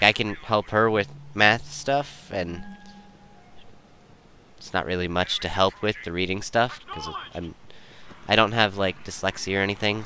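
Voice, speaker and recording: A man's voice: on the low side, background noise. A young man giving rambling, casual commentary in an easygoing, self-deprecating, digressive way. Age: young adult. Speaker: video creator and commentator.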